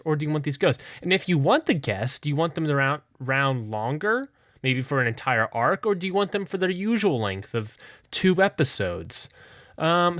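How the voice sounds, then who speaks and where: A man's voice: on the high side, a bit bright, dry recording, clean studio sound. A man making a casual, rambling podcast announcement, jokingly correcting and repeating himself as he goes.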